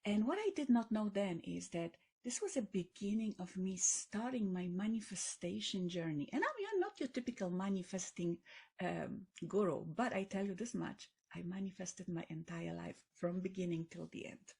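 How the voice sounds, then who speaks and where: A woman's voice: mid-range voice, expressive, normal speed, creaky, darkish tone, slightly rough. A middle-aged woman from Poland with a Polish accent, telling her story enthusiastically as a podcast guest. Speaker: guest, coach and author. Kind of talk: podcast interview.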